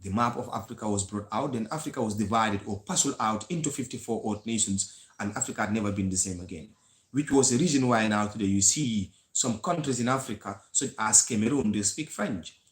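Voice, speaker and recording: A man from the Gambia with a Gambian English accent, hosting a live history talk in an earnest lecturing way, with frequent pauses and restarts. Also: on the low side. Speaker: host of a history channel. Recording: echoey room sound.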